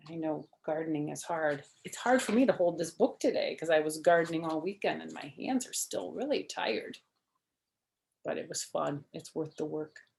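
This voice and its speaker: A woman's voice: low-pitched, normal speed, gravelly, a little mellow. A woman reading a children's story aloud expressively, with chatty asides, questions and simple explanations for young listeners.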